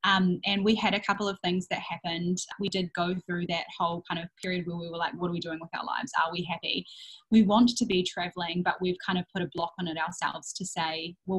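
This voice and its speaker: A woman's voice: fairly low pitch, breathy edge, poor audio. A young woman from England, talking openly and reflectively as an interview guest about family life. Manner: open, reflective, with fillers and quick asides. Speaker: interview guest, worldschooling mother. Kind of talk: casual interview answers.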